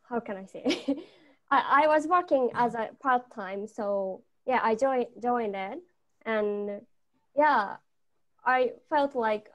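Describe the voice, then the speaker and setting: Slow young woman. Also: fairly high pitch. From Japan. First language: Japanese. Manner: shy, searching for words, laughing softly. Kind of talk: casual panel discussion.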